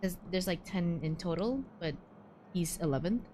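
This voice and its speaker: A woman's voice: lowish voice, animated, moderate pace, dark tone, a little hiss or hum. A woman streaming a game with casual, rambling, reactive live commentary.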